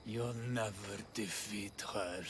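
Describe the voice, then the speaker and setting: A man playing a defiant, gloating captured henchman in a video game, taunting with drawn-out threats. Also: on the low side, fairly even intonation, a little hiss or hum. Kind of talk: video game villain acting.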